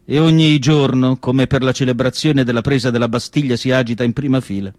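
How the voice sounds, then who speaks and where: A man performing a theatrical literary monologue, declamatory, with deliberate phrasing and pauses. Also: mid-range voice, fairly even intonation, darkish tone, no echo. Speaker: stage actor reading literary prose. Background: music.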